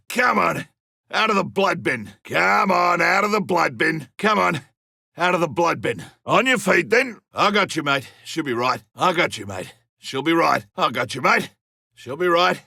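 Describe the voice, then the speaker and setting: A man voicing a gruff, hearty older Australian big-game hunter in a video game, gravelly, barking short battlefield lines. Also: on the high side, bright, forceful tone, no room sound, clean studio sound, heavily acted. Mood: gruff encouragement.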